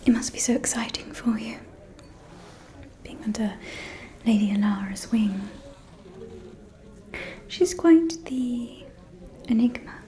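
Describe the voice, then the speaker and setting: A woman playing a haughty, gossipy vampire noblewoman in a whispered ASMR roleplay, catty and conspiratorial, speaking close to the microphone in drawn-out phrases. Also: fairly high pitch, mellow. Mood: probing, sly. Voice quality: breathy whisper with murmured phrases. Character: haughty, gossipy aristocratic female vampire at a ball.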